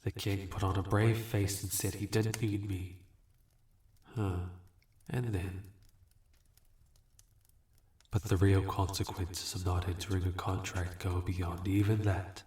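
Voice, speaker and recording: Male actor as archdemon, low unhurried voice, smug, manipulative, condescending, fantasy audio roleplay. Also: little pitch movement, darkish tone. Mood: menacing, taunting. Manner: condescending, deliberate, smooth-talking. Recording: roomy, echoing voice recording.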